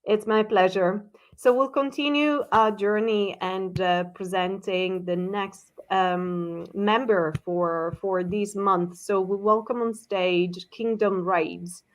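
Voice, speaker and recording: A woman's voice: medium pitch, steady tone, takes it slowly, hardly any pauses, rough recording. A woman hosting a virtual presentation on a video call, polite and welcoming, with a few ums. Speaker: event host.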